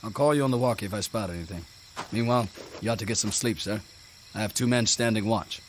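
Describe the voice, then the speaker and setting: An actor playing a dutiful, concerned second lieutenant in a radio drama, respectful and measured. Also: male voice, fairly low pitch, slight background noise, lightly acted. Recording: radio drama with music underneath.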